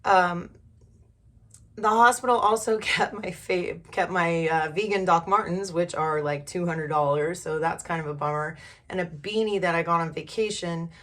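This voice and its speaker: Hoarse, low-voiced woman vlogging candidly. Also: normal speed, fairly bright tone. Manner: candid, informal, mildly profane. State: hoarse from a recent illness. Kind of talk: casual personal storytelling.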